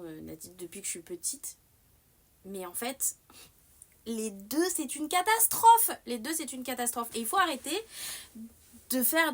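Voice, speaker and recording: A young woman hosts a casual live stream at a middle pitch. She meanders through her thoughts and circles back on half-finished sentences. Her delivery is animated and self-mocking. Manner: rambling, many fillers and restarts. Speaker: livestream host. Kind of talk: casual livestream chat.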